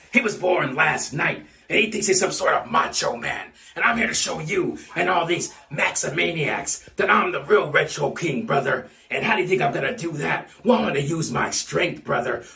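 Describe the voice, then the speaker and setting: A man's voice: fairly high pitch, gravelly, sharp tone, distant mic. A man cutting a parody wrestling promo, all bluster and bravado, tacking "brother" and "dude" onto his lines. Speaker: fan wrestling promo performer. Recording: roomy echo, off-mic.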